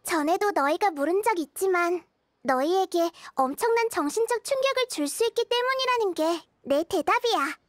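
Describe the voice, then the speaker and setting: A child-like game goddess with a very high, dry-recorded voice. She is gentle and composed, explaining riddles patiently like a small, wise teacher. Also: lively intonation, moderate pace, no room sound. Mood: gentle, apologetic. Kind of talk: scripted game dialogue.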